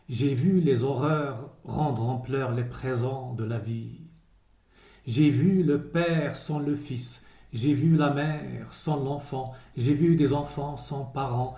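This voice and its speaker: Slow, dark-voiced man reciting poetry. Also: mid-range voice. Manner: measured, grave, short phrases with pauses. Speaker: poet reading a poem. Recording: roomy, echoing voice recording.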